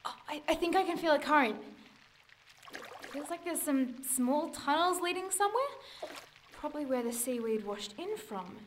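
A woman's voice: high voice, animated, normal speed, pauses often, a little hiss or hum. An actress playing a curious, absorbed young woman adventurer in an audio drama, with a hesitant, studious way of talking.

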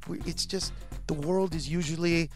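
Male stand-up comic in his 40s, born in Honduras, interview guest; fairly high voice, lively, shouts for punchlines. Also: moderate pace, soft background music. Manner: animated, rising to shouts when acting out bits. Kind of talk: casual interview chat.

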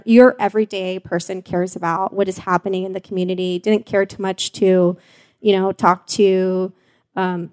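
A woman's voice: mid-range voice, darkish tone. A woman from Mexico hosting a live panel in a casual, chatty, giggly and informal way. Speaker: host and journalist.

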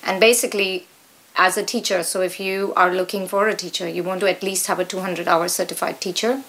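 A woman's voice: on the low side, steady tone, fairly quick, fairly bright tone, low-quality recording. A middle-aged woman with an Indian accent, talking conversationally as an interview guest. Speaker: guest, yoga teacher.

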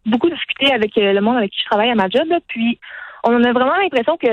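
A woman's voice: on the high side, animated, no room sound, lo-fi sound. A teenage girl with a Quebec French accent, a radio interview guest talking earnestly, often tagging her sentences with "là". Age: teens. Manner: earnest, fast-talking, frequent "là" tags.

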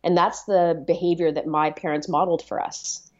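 A woman's voice: fairly low pitch, steady tone, moderate pace, muffled recording. A middle-aged woman from Canada whose first language was Swahili. She talks earnestly as an interview guest, with many fillers and repeated words. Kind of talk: reflective conversational interview.

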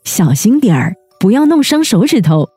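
A woman playing a gentle, patient mother in a children's audio story, coaxing and encouraging in a soothing, kindly manner. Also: mid-range voice, very animated, normal speed, breathy edge, soft background music.

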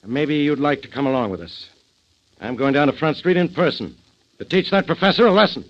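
An actor playing a curt, sardonic, commanding police inspector in a 1940s radio drama. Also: male voice, fairly high pitch, animated, fairly quick, lightly acted. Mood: brisk, gruff. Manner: curt, tough-talking, commanding. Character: gruff, sardonic, bossy male police inspector. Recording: dull, noisy 1940s radio recording.

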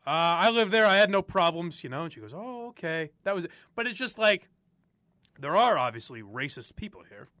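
A man's voice: high-pitched, big pitch swings, fairly quick, bright, forceful tone, dry recording, clean studio sound. A young man from the area, hosting opinionated talk radio and ranting with frequent laughs. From Boston area. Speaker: sports radio host.

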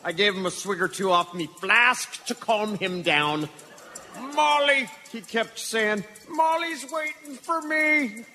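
Cantankerous old trapper, piercingly high. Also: male voice, slow, sharp tone, faint music behind, full character voice. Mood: exasperated. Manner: crotchety, folksy, exclamatory. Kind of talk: comic radio theatre acting. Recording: live hall reverb, faint noise.

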